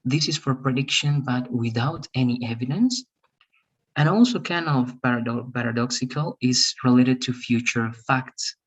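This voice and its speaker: A man's voice: medium pitch, normal speed, slightly rough, poor audio. A man teaching English grammar online, patiently checking understanding as he goes. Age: 30s. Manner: patient, explains step by step, many "all right?" checks.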